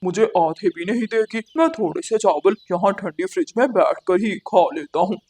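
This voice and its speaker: Woman playing a sneaky, mid-pitched crow thief. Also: animated, normal speed, a little mellow, lightly acted. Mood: gleeful plotting. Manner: scheming, self-satisfied.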